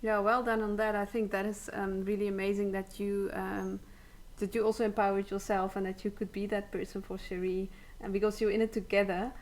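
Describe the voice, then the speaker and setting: A woman's voice: mid-range voice, little pitch movement, fairly quick, hardly any pauses, rough recording. A woman hosting a supportive, casual interview in an encouraging, affirming way, feeling her way through sentences. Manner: encouraging, affirming, hesitant phrasing. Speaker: interviewer, host.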